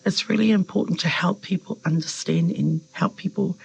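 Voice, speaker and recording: Gravelly, husky New woman. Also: fairly low pitch, normal speed, dull audio. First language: Samoan. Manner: thoughtful, hesitant with fillers, animated when quoting others. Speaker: member of parliament. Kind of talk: reflective interview answers.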